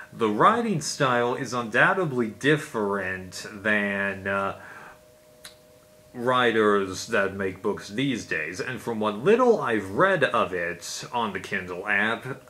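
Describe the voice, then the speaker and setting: A man's voice: on the low side, animated, slow, sharp tone. A man giving a casual, unscripted explainer as a video host, in a rambling, conversational way.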